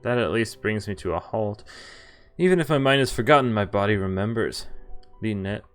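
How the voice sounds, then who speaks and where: A man's voice: fairly low pitch, expressive, normal speed, clear, resonant tone, faint music behind. A man streaming a visual novel, reading its dialogue and narration aloud and breaking off with casual, laughing asides. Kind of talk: game playthrough, reading lines aloud with commentary.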